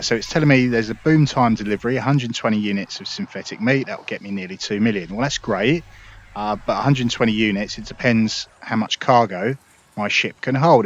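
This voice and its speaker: A man's voice: on the low side, muffled recording. A man hosting a casual gameplay tutorial, explaining things in a chatty, upbeat way. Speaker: gaming video host.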